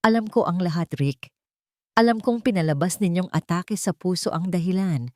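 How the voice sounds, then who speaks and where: A scheming young woman from a romantic audio drama, as a female narrator plays her. She is icy and calculating, pressing each threat with cool menace. The voice sits fairly low, in a dry recording. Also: normal speed, darkish tone, no echo, lightly acted. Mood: icy, threatening. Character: cold, scheming young woman blackmailing her former lover.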